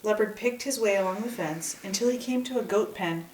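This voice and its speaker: A woman's voice: mid-range voice, brisk. Woman reading a folktale in an echoey room. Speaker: storyteller reading aloud. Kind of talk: expressive children's story narration. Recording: echoey room, distant microphone.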